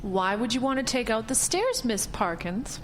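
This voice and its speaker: A woman's voice: fairly high pitch, very animated, moderate pace, a little hiss or hum. A woman playing an earnest, persistent real estate agent in an audio drama, speaking in a direct, insistent manner. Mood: probing, insistent.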